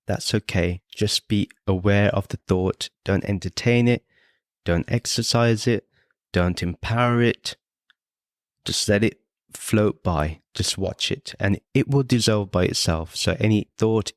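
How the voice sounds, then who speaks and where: A man teaching a guided meditation in a measured, instructive way, speaking in short, repeated phrases with pauses. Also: lowish voice, dry recording, clean studio sound. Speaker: meditation teacher and podcast host.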